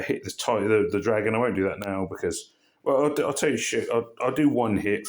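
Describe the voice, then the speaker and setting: A man with a hoarse, croaky voice, worn down by a cold. His voice sits a little low, and he rambles through casual, self-deprecating commentary as he plays a mobile game. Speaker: game channel host.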